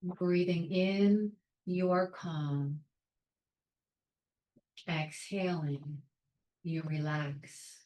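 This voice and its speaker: A woman leading a guided meditation in a calm, soothing, measured way, leaving long pauses between short phrases. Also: lowish voice, echoey room, rough recording. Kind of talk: guided meditation, soft instruction.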